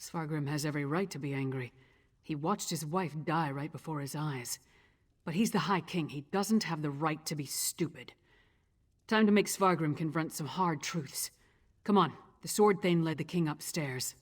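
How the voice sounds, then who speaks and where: An actress playing a blunt, loyal, towering half-giant warrior woman in a fantasy video game, gruff and resolute. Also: low voice, moderate pace. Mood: determined. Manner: plain-spoken, gruff, resolute.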